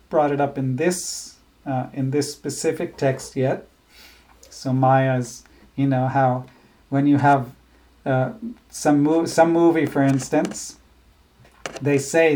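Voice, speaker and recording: A man teaching a scripture class, explaining ideas in a thoughtful, halting way with frequent restarts. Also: a little high, a little mellow. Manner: chants verses slowly. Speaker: spiritual teacher.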